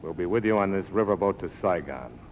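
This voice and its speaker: An actor playing a tough, cool American major with a wry, clipped, persuasive way of talking in 1940s radio style. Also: male voice, deep voice, expressive, brisk, over loud music. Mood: wry, pressing. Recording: noisy old 1940s radio broadcast.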